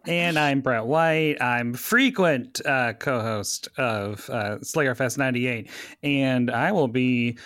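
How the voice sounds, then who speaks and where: Guy podcast guest, casual, slow talker, dry audio. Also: medium pitch, fairly bright tone, dry recording. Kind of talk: casual chat.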